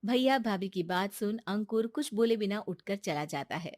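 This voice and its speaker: A woman's voice: mid-range voice, animated, moderate pace, a little mellow, no echo, studio quality. A woman narrator reading a scripted cartoon moral story.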